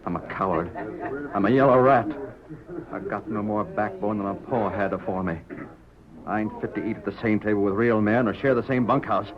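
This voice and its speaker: An actor playing a bitter, self-loathing cowhand with a halting, folksy American Western drawl in an old radio drama. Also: male voice, fairly low pitch, crowd noise, close to an everyday voice. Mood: bitter, agitated. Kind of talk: 1940s radio Western acting. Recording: muffled old radio recording, background din.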